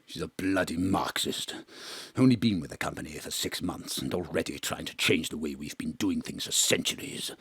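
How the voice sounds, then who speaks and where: An actor playing a pompous, melodramatic veteran male stage actor who swings between booming declamation and hushed confession, recorded from a home studio. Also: moderate pace, husky, no room sound, full character voice. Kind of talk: live remote audio drama acting.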